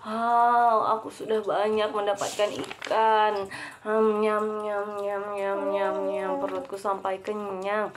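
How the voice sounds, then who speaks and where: A woman's voice: mid-range voice, little pitch movement, slow, lightly acted. A woman reading aloud, voicing a whiny, hungry little bear cub in a children's storybook. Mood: satisfied. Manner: whining, pleading.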